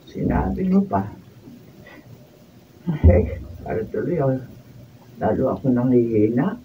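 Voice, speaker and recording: A woman's voice: rumbling low, very animated, measured pace, exaggerated voice. A woman playing an aggrieved elderly mother in a radio drama, plaintive and sulky, with a Filipino accent. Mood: weary, regretful. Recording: distant, muffled, noisy room recording.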